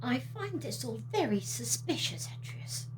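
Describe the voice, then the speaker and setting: A woman playing a suspicious, solemn councillor in an audio drama, formal and deliberate. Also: high-pitched, very animated. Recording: faint hum behind.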